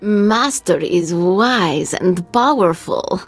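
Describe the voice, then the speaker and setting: Slow, fawning alien servant. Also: female voice, fairly low pitch, animated, husky, no echo, faint music behind, exaggerated voice. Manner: slow, deferential. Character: servile, fawning alien servant speaking in third person. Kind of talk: video game cutscene acting.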